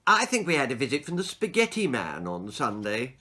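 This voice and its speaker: Storybook mum, read by a man: firm, knowing, warning tone, bright and animated. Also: medium pitch, fairly quick, full character voice. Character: stern, knowing mother of a naughty boy.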